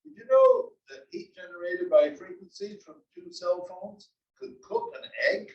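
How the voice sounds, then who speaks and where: Guy doing a cranky old husband griping, kinda high voice, far off in an echoey room. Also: wildly swooping pitch, unhurried, full character voice. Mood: grumbling, wry. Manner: complaining, exclaiming. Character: grumbling old husband with gout, wry and exasperated. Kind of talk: comedy table read before an audience. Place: library room, table read. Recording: dull, echoey library room, distant mic.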